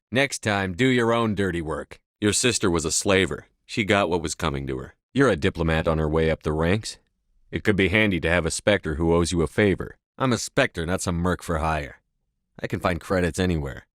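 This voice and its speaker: A commanding military hero from a sci-fi video game. His voice is low and recorded dry and close. He speaks in blunt, clipped phrases with stern authority and steady resolve. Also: dry recording. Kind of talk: video game dialogue acting.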